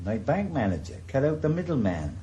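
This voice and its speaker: A man's voice: mid-range voice, big pitch swings, fairly quick, dark tone, close to an everyday voice. A man playing a wry ex-detective. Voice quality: dry, joking, self-mocking. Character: wry retired male ex-detective, middle-aged. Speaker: lead character. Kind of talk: 1980s radio drama acting. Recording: hissy old radio broadcast recording.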